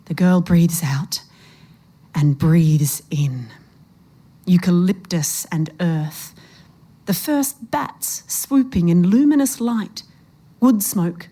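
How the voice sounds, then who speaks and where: A woman's voice: on the low side, takes it slowly, long pauses, mellow, husky. A woman narrating a suspenseful short story in a literary reading style.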